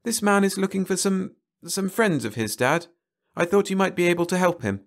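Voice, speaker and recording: A proud, lovestruck young woman in an audiobook, played by a male narrator. The voice is raised well above his own pitch, with a tentative delivery. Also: lively intonation, normal speed. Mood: hesitant, hopeful.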